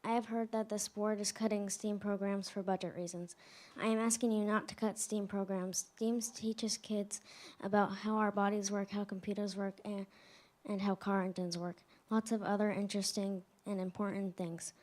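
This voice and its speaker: A woman's voice: mid-range voice, little pitch movement, moderate pace, a little mellow. A fourth-grade girl reading a prepared public comment at a school board meeting, carefully, slipping into whispers at times. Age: child.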